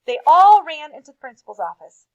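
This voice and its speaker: A woman reading aloud to children as a teacher, with expressive emphasis and casual asides. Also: sits high, brisk, dry recording, poor audio.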